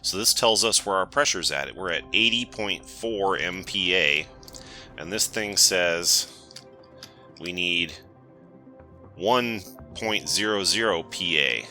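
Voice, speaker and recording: A man with a cold gives relaxed let's-play commentary, thinking aloud as he explores. His voice sits very low yet sounds strikingly bright and forceful. Soft game music plays underneath. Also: takes it slowly. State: has a cold. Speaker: gaming channel host.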